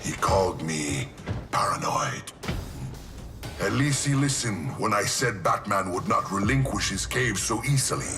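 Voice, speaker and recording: An actor playing a hulking male villain who gloats and threatens slowly, with game music behind. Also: on the low side, expressive, recorded from a distance. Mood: gloating. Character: menacing brutish male villain, hulking strongman.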